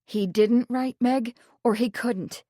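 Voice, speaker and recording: A woman voicing a composed gentlewoman who speaks calmly and firmly. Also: a little high, dry recording, studio quality. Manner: calm, measured, refined.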